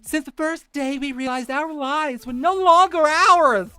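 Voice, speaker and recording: A man's voice: very high-pitched, a little slow, pushed and bright, no room sound, pitched above the performer's own voice, heavily acted. A man voicing a melodramatic, self-pitying woman in a theatrical, mock-solemn dramatic reading. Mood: anguished.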